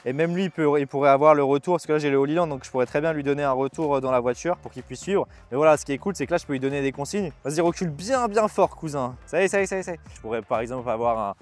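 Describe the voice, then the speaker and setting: Fast-talking man, casual gear review. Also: on the high side, soft background music. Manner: informal, slangy, chatty. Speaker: host of a video gear review vlog. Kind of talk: casual review talk to viewers.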